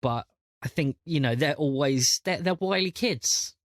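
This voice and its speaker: A man chatting casually as a podcast host and game master, often repeating words as he thinks out loud. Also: on the high side, unhurried, no room sound, studio quality.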